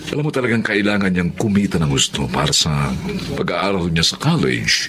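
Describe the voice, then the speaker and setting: An actor with a Filipino accent playing an easygoing, kindly boss in a radio drama, speaking in a reassuring way. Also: male voice, low voice, animated, moderate pace, noisy background. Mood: genial. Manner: genial, reassuring. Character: easygoing, kindly male boss of a young worker.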